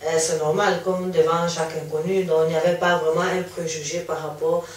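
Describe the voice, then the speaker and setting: A woman's voice: lowish voice, little pitch movement, a little fast. A young woman whose first language is Italian, answering interview questions hesitantly with many fillers and restarts. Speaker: interview guest. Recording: echoey room, mic far off.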